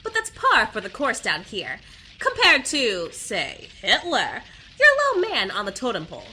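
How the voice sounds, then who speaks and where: A blunt, sassy bat of the underworld in an audio drama. The voice is high and very sharp, with lively swings in pitch. It sounds mocking yet matter-of-fact. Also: female voice, normal speed, slight background noise, full character voice. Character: sassy, blunt bat serving as guide in Hell. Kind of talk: audio drama character acting.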